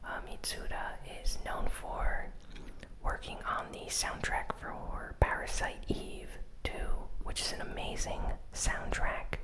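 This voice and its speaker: A host giving ASMR gaming news commentary in a breathy, unvoiced whisper, soft-spoken and unhurried. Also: poor audio.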